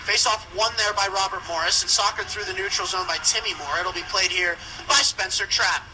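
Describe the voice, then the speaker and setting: Male radio hockey caller, high register, brisk live delivery, noisy rink broadcast. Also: steady tone. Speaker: ice hockey play-by-play commentator. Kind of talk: live radio sports commentary.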